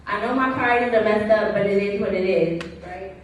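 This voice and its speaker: A woman's voice: mid-range voice, quick pace, a little hiss or hum. A young woman performing slam poetry as a student, with an earnest, rhythmic, rehearsed delivery. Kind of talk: slam poetry recitation with acted student dialogue. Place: large echoey room at a gala event. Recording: very echoey hall, distant mic.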